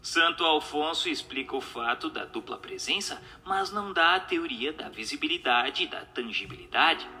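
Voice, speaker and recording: A man reading a book aloud as narrator, in a formal, measured, didactic way. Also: on the high side, lively intonation, bright, forceful tone, soft background music. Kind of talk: scripted narration, audiobook reading.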